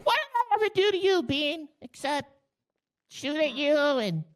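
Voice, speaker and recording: A woman in playful in-character roleplay banter, excitable and profane. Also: sits high, measured pace.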